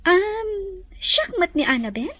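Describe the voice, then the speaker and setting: A woman playing a haughty, bossy, sharp-tongued resort owner in an Ilocano Filipino audio drama. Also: very high-pitched, sing-song, a little slow, no room sound, heavily acted. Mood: polite.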